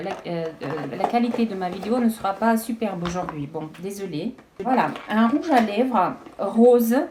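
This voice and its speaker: A woman chatting casually as she unboxes beauty products, hesitant and halting with frequent restarts. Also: medium pitch. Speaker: beauty vlogger.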